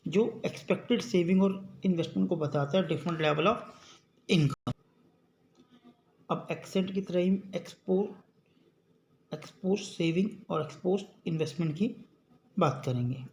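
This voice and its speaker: A male teacher giving an economics lesson, reading English definitions slowly and explaining them quickly. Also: high-pitched, vocal fry, a little mellow. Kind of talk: classroom economics lecture. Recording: echoey room with faint ambient noise.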